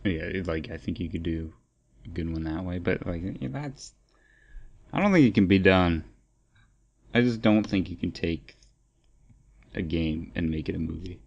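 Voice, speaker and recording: A man's voice: low voice, expressive, normal speed, creaky, long pauses, a little mellow, highs cut off. A man co-hosting a podcast in casual, opinionated discussion, rambling and repeating phrases as he thinks aloud. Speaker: podcast co-host.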